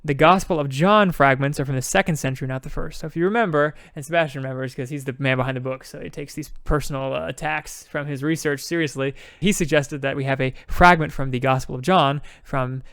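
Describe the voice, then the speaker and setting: Fast-talking man, smooth dry voice. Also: on the high side, a bit bright, dry recording. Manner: quick, thinking aloud with restarts. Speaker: podcast co-host. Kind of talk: casual discussion.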